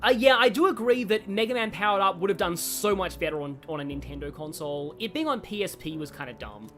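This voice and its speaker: A woman's voice: fairly low pitch, expressive, quick pace. A woman giving casual, rambling live commentary on a game, chatty and wry. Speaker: game streamer. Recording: game music behind.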